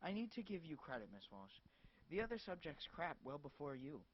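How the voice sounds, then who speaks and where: A man doing a calm, smug, menacing caller. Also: fairly high pitch, a little hiss or hum. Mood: calm menace. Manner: polite, smiling and slow. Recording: dull lo-fi dry recording.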